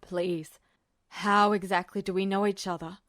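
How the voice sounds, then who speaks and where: A young man torn up with guilt speaks low and strained, as a woman puts him on for a fan audiobook. He pleads and presses for answers. The sound is dry and close. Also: level tone, measured pace, a bit bright, dry recording, close to an everyday voice. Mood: pained. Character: troubled young man, guilt-ridden soldier.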